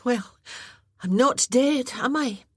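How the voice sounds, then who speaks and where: A woman voicing a fiery, proud young Highland woman with a sharp tongue, in an audiobook. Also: slow, breathy edge, dry recording, clean studio sound. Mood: wry.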